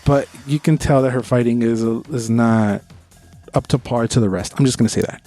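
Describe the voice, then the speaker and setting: A man's voice: mid-range voice, normal speed, over music. A man co-hosting a podcast, giving opinionated, informal review chat with bits of trivia. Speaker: podcast co-host.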